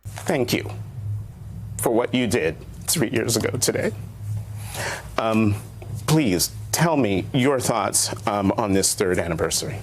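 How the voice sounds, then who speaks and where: A man hosting a televised interview, asking his questions in a measured, earnest tone. His voice sits on the low side, with a faint hiss under it. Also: animated. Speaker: news show host. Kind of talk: broadcast interview.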